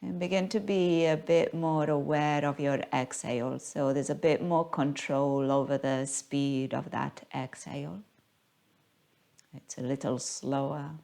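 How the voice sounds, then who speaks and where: A woman guiding a yoga class as the teacher, speaking in a gentle, unhurried, soothing manner. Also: deep voice, steady tone, creaky. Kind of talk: guided yoga class instruction.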